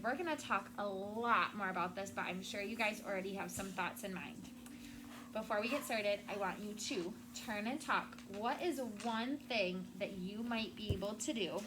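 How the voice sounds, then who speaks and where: A woman's voice: mid-range voice, noisy background. A woman schoolteacher reading aloud and talking to children in a classroom, explaining in simple words and checking in with questions.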